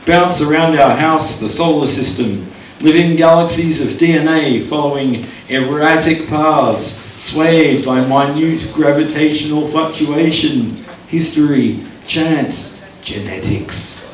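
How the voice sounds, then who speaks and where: A man's voice: fairly high pitch, takes it slowly, slight background noise. Man reading poetry at an open mic. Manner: wry asides, counts down his time aloud. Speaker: poet performing. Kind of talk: live spoken-word poetry reading. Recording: echoey room, distant mic, audience.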